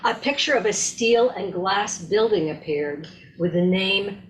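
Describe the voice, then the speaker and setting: Lady reading a story out loud, real slow, average pitch. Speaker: reader in a readers theater. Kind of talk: scripted story narration read aloud.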